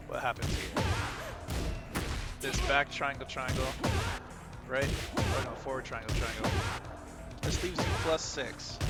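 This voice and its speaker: A man giving casual, instructive gameplay analysis, talking informally as he coaches a player through moves. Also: medium pitch. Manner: instructive, counts out inputs aloud, informal. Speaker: video creator coaching a fighting game player. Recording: loud game music and sound effects behind. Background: loud fighting game music and effects.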